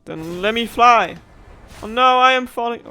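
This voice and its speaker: A young woman narrates her gameplay casually and playfully, with dramatic reactions. Her voice is bright and unhurried, with faint game music behind it. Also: fairly high pitch, clear, resonant tone. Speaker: gaming streamer. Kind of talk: casual let's play commentary. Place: indoors over game audio.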